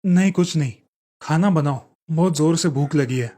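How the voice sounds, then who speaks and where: A man voicing a mild-mannered but exasperated young Indian husband in an animated moral story. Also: on the high side, normal speed, lightly acted. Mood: troubled.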